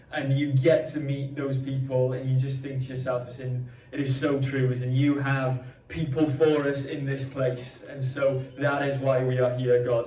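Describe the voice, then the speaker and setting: A man's voice: on the high side, darkish tone. A young man preaching a sermon in a chatty, storytelling way, with many ums. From Wales. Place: church hall. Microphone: handheld mic. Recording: echoey hall from a distance.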